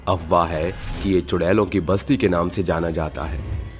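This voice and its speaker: A man's voice: deep voice, steady tone, moderate pace, faint music behind. A man reading a scripted horror story as a dramatic narrator.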